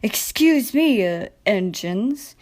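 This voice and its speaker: A woman voicing a smug, boastful male diesel engine in a storybook, with oily, purring politeness and drawn-out words. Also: mid-range voice, animated, a little mellow. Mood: oily, flattering.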